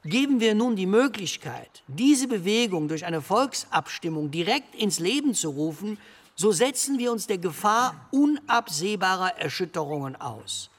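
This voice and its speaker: An actor playing a cautious parliamentary delegate of 1948, arguing in a formal, measured, legalistic manner. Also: male voice, high voice, big pitch swings. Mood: measured, insistent. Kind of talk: formal debate speech. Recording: long hall reverb, faint noise.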